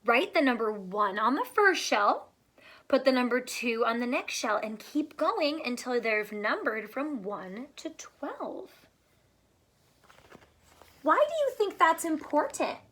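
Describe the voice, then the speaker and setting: A woman teacher reading a picture book aloud to young children, pausing to explain simply and ask questions, drawing out words for emphasis. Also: sits high, very animated, fairly bright tone.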